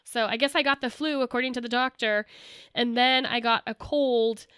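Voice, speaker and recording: High-voiced nasal young woman co-host. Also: fairly even intonation, normal speed, fairly bright tone, clear, resonant tone, no echo. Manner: enthusiastic, talks in long lists. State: nasal from a cold. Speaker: podcast co-host. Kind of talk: casual podcast chat.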